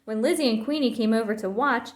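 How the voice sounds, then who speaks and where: A woman reading a children's story aloud in an expressive, theatrical way. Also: fairly high pitch, fast talker. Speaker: storybook reader. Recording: roomy, echoing sound.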